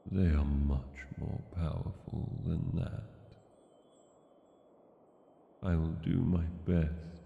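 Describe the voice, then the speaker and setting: A man playing an ancient, brooding dragon king in a fantasy audio roleplay, speaking tenderly and deliberately to a lover, with dramatic pauses. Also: steady tone, exaggerated voice. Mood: patient, wistful. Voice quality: gravelly low voice. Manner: slow, deliberate, hushed storytelling with dramatic pauses. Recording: echoey cavern-like reverb, dull, noisy.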